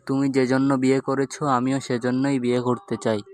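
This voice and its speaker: Man voicing a flat-toned lovelorn young cartoon guy. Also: medium pitch, normal speed, natural voice. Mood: matter-of-fact. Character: lovelorn young man, plain-spoken comic cartoon straight man. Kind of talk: animated comedy skit dialogue.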